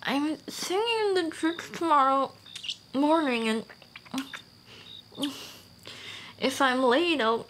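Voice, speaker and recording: A shy, teasing dullahan girl played by a woman in an intimate ASMR romance roleplay. She speaks slowly and softly, hesitating and often sinking toward a whisper. A light noise sits behind the voice. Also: sits high. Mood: flustered, tender.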